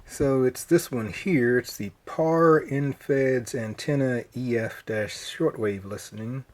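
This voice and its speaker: A man hosting a hobby video in a casual, unscripted way, talking hesitantly with frequent pauses. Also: mid-range voice, darkish tone. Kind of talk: casual unscripted show-and-tell. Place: at home indoors, later outside in a yard. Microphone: handheld phone.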